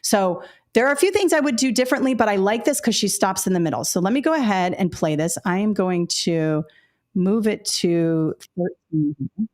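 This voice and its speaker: A woman in her forties leads a webinar for teachers with a loud, candid voice. She talks in a chatty, animated way. Her pitch sits in the middle of the range. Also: moderate pace. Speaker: webinar presenter, former teacher. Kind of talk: casual webinar talk to teachers.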